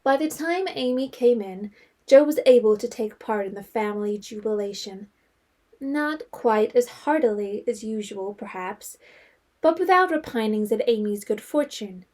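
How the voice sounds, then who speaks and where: An actress narrating a period novel in an audio drama as a wry, composed storyteller. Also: female voice, fairly high pitch, lively intonation, moderate pace, darkish tone.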